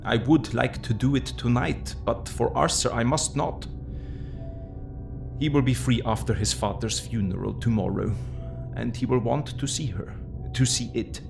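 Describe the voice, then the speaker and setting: A slow, gravelly old professor. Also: male voice, mid-range voice, music in the background. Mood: grave, secretive. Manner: deliberate, earnest, quaint non-native phrasing. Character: solemn, tender older male foreign professor and surgeon. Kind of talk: dramatic audio drama acting.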